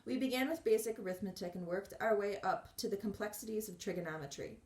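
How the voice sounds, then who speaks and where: A woman reading a memoir aloud in a measured, earnest way, with occasional restarts. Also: mid-range voice, a little mellow, echoey room. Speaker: audiobook reader.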